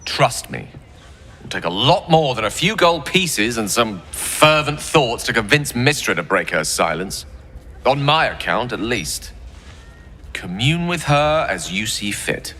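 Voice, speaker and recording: An actor playing a wary, eloquent wizard in a fantasy game, speaking formally with dry wit. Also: male voice, mid-range voice, sing-song, unhurried, sharp tone, in a large hall, slight background noise. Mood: guarded, wry. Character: wry, wary, eloquent male wizard companion.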